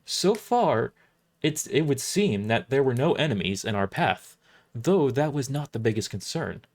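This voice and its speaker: Animated man narrating an audio drama. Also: medium pitch. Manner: halting, often rereads lines. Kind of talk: dramatic first-person scripted narration, read live.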